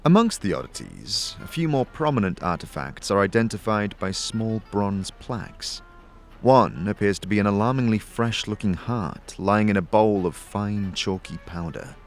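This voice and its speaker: A man narrates a fantasy audio drama in a low voice. His delivery is scripted and theatrical, with very lively pitch movement, over faint music. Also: moderate pace.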